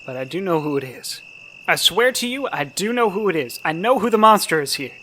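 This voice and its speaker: Casting: a woman as a cornered, self-pitying suspect, pleading, highly animated, noisy night-time radio drama. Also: a little fast, lightly acted. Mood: desperate pleading. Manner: pleading, stammering, repetitive. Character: desperate, cornered, self-pitying neighbor deflecting blame. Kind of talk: radio play acting. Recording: noisy, night insects behind.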